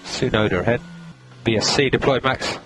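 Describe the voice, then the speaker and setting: A calm male race engineer giving clipped, procedural instructions over team radio, repeating key points. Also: medium pitch, moderate pace. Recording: noisy radio link, engine noise.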